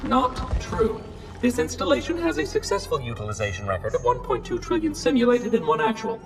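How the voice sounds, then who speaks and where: An actor playing an ancient machine caretaker in a game cutscene, formal, clipped and matter-of-fact. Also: male voice, sits high, wildly swooping pitch, fairly quick, far from the mic, soft background music, heavily acted. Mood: insistent. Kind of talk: video game cutscene acting.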